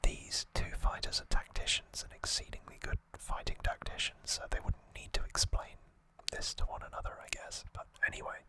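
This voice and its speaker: An ASMR host speaking in a soft, breathy, unvoiced whisper, explaining things in a gentle, hesitant way as in a relaxed recap. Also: moderate pace, few pauses, low-quality recording.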